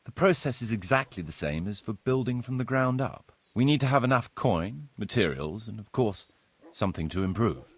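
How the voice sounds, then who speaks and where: Video game role: practical, courteous village steward, husky and measured, recorded close and dry. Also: male voice, fairly low pitch, lively intonation, no room sound. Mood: explanatory. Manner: measured, formal, instructive. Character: practical medieval village steward, courteous, businesslike adult man. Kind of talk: video game cutscene dialogue, explanatory.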